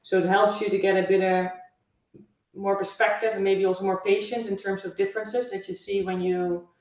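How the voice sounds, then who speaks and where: A woman from the Netherlands with a Dutch accent, a guest answering interview questions in a measured, thoughtful way with frequent fillers. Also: medium pitch, level tone, darkish tone. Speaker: guest, travel agency owner. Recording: echoey room, far from mic.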